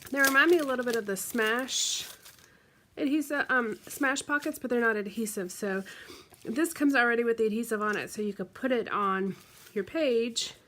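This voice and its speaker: A woman's voice: a little high, normal speed, sharp tone. A woman from the United States, congested from the flu, chatting casually with lots of ums and likes as she shows off scrapbooking supplies. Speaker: craft vlogger. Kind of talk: casual show-and-tell unboxing.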